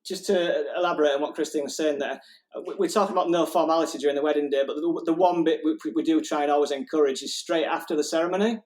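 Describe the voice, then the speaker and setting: A man's voice: on the high side, fairly even intonation, fast talker, a little mellow. A man, a wedding photographer chatting casually and telling anecdotes with the odd laugh.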